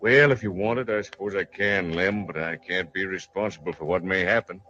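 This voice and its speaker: An actor playing a folksy, cautious, regretful moneylender in an old-time radio western. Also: male voice, low-pitched, brisk, lightly acted. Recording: muffled vintage radio drama recording.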